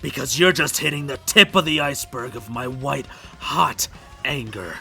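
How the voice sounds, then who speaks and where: A man playing a bitter, grieving, middle-aged hard-drinking huntsman in a fan comic dub, with a gravelly, raspy voice and a mocking, menacing drawl. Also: mid-range voice, lively intonation, normal speed, bright, forceful tone, soft background music, exaggerated voice. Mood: simmering anger.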